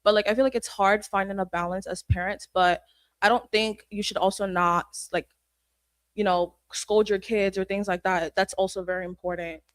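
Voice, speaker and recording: Female panelist from Nigeria, earnest, mid pitch, dry recording. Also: steady tone, normal speed, dry recording. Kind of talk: panel discussion.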